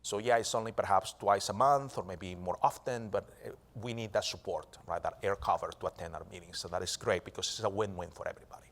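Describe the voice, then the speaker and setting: A man giving a live keynote talk, animated, with frequent restarts and self-corrections, often asking the audience "right?". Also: sits low, fast talker, few pauses. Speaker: keynote speaker.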